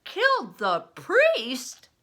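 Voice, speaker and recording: Storybook big man acted by a woman, gruff jovial entertainer, slow and emphatic, bright forceful tone, swooping pitch. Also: huge pitch swings, exaggerated voice. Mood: shocked, indignant.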